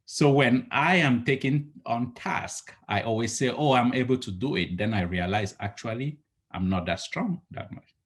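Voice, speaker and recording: A man's voice: mid-range voice, normal speed. A man in his thirties from Cameroon, giving earnest, rambling advice on a home video call and restarting his sentences often. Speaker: entrepreneur guest. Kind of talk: casual video call interview. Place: home living room, indoors. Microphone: laptop webcam on a video call.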